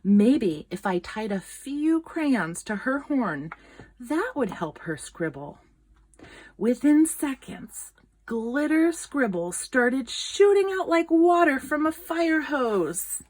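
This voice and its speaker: A woman reading a children's picture book aloud in an expressive, dramatic storytelling manner. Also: high-pitched, takes it slowly. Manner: expressive, dramatic, with gasps and whispered asides.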